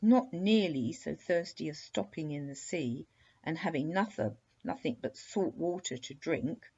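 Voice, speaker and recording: A woman voicing an indignant, self-pitying sea serpent for a children's story, with a hoarse, drawn-out, growling delivery. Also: deep voice, expressive, dark tone, dull audio, exaggerated voice. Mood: bitter, gloomy.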